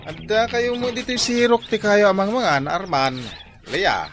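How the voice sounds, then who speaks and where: A man's voice: sits high, lively intonation, moderate pace, a bit bright, soft background music. A man playing a lazy, whiny husband who makes hesitant excuses in a comedy radio drama. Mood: casual.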